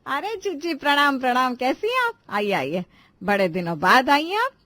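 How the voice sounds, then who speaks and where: A woman voicing a bossy, fussy middle-aged mother-in-law in a cartoon family drama, nagging and quick to take offence. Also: sits high, very animated, bright, forceful tone, no echo, exaggerated voice. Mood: eager, welcoming. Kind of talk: animated family drama voice acting in Hindi.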